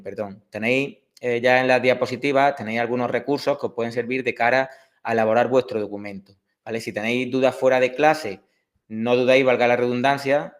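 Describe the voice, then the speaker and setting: Andalusian guy in his 30s teaching a prep class, lots of ehs and restarts. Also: mid-range voice. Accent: Andalusian Spanish. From Córdoba, Spain. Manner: hesitant, many fillers and restarts. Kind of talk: online course introduction.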